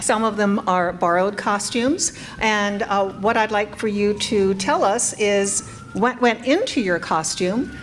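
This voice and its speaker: A woman's voice: medium pitch, unhurried, a little hiss or hum. A woman hosting a convention panel as parade coordinator, cheerful and chatty, laughing often. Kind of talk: upbeat live-stream hosting. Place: convention event room.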